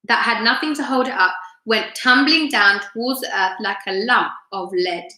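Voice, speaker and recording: Lady teacher doing live storytime, bright voice, bit high, expressive, roomy echo. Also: normal speed. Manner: expressive, asks listeners questions. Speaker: teacher reading aloud to children on a live stream. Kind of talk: live story time reading with chat to listeners. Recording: roomy, echoey room sound.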